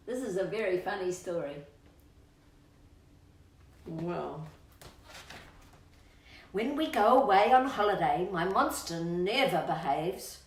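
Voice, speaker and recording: A woman reading aloud to children in a playful, sing-song storybook style, drawing out words for emphasis and chatting to her pet. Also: medium pitch. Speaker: children's storytime reader and channel host. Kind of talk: expressive storybook read-aloud with asides to a pet dog. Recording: roomy echo, distant microphone.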